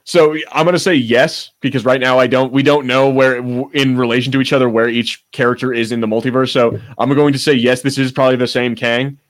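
A man's voice: medium pitch, fairly even intonation, a little fast, hardly any pauses. A man co-hosting a podcast in casual, unscripted chat, rambling, searching for words and breaking off mid-thought, with some swearing. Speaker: podcast co-host.

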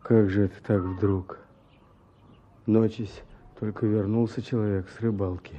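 An actor playing a gruff, reluctant village fisherman and family man in a Russian radio play. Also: lowish voice, flat delivery, measured pace, warm tone. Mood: reluctant, troubled. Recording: dull old radio play recording.

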